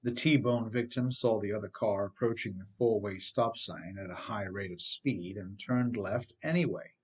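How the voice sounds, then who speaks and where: A man's voice: fairly low pitch, normal speed, darkish tone, slightly rough. A man reading scripted instructional narration as the author, addressing listeners directly and with emphasis. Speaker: author reading own advice book on driving.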